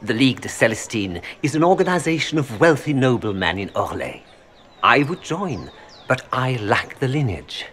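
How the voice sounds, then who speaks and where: An actor playing a suave, calculating merchant in a video game, speaking formally with a French accent. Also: male voice, medium pitch, sing-song, normal speed, a bit bright, a little hiss or hum. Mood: smooth bargaining. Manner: courtly, formal, smoothly unapologetic. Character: suave, calculating Orlesian merchant seeking noble influence. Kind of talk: video game dialogue acting.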